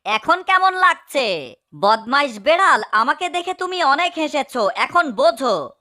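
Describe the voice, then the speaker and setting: A woman's voice: very animated, a little slow, heavily acted. A woman voicing a small, hungry cartoon mouse, self-pitying and indignant, in a melodramatic comedy dub. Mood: taunting. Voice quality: high, bright. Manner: plaintive, melodramatic, scolding. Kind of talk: fan-dubbed cartoon comedy.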